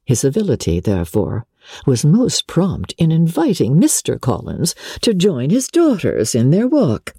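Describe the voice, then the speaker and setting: A woman narrates classic literature in a dark, mellow, husky voice. Her delivery is theatrical and measured, with very animated intonation. The sound is close and dry. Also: low voice, dry recording. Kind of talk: expressive scripted audiobook narration of a classic novel.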